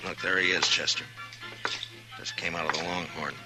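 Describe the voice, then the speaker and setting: An American actor playing a tough, terse US marshal in a 1950s Western radio drama. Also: male voice, low voice, steady tone, moderate pace, lightly acted, rough recording. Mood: dry, determined. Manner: terse, commanding, dry. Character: tough, stern US marshal of a frontier town. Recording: old radio broadcast with dramatic music.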